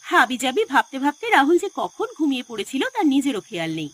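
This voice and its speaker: A woman's voice: high voice, wildly swooping pitch, a little fast. A woman narrating a scripted horror story in a dramatic storytelling style. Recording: music behind the voice.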